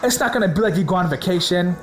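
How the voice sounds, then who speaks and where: A man preaching in a casual, slangy way, hoarse from a sore throat, excited and repeating words for emphasis. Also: high-pitched, very fast. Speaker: religious video host.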